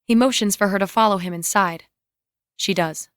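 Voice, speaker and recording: A woman's voice: mid-range voice, moderate pace, dry recording, clean studio sound. A woman narrating scripted screenplay scene directions in a matter-of-fact way.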